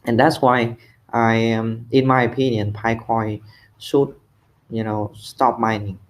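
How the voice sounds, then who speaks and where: A man from Vietnam speaks in a medium-pitched voice with a Vietnamese accent. He sets out a prepared personal opinion as part of a community discussion. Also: level tone, slow.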